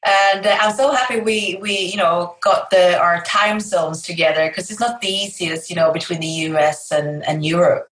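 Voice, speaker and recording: A middle-aged woman with a Swedish accent speaks as a guest on a video call. Her voice is fairly low and sounds roomy, with noticeable echo. She talks hesitantly, stopping and restarting often. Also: steady tone, normal speed, few pauses, a bit bright. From Sweden. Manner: hesitant, many fillers and restarts. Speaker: guest, fitness and yoga educator. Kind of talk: interview, personal story.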